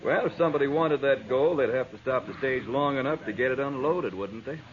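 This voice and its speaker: A man's voice: medium pitch, fairly quick, close to an everyday voice. Man as idle, needling saloon regular, muffled. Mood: dry, needling. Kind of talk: 1950s radio western acting. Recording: dull old radio, faint noise.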